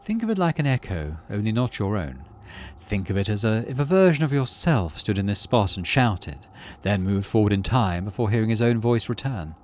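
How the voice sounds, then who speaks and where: A man's voice: on the low side, big pitch swings, a little mellow, slight background noise. Man reading as a wry, cryptic alien guide. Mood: musing. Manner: wry, musing, deliberate. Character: wry, cryptic male alien forest guide, calm and teasing. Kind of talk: visual novel game dialogue.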